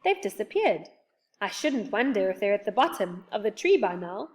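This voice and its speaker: A woman's voice: mid-range voice, very animated, moderate pace, close to an everyday voice. A woman voicing a polite, sensible young boy in a children's storybook, patient but insistent. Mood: cheerful. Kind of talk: children's storybook character reading.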